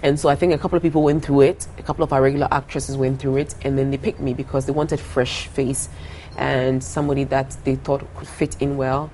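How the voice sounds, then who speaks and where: A young woman from Ghana speaks in a notably low voice, earnest and quick to laugh. She often repeats her phrases as she talks through her answers. A faint hiss sits under the recording. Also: level tone, mellow, rough recording. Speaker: singer guest. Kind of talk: casual interview talk.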